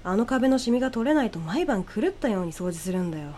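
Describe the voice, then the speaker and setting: A woman's voice: fairly high pitch, big pitch swings, fast, dark tone, slight background noise. A woman voicing a casual, teasing male bar owner with blunt, informal speech in an audio horror story. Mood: grumbling, amused.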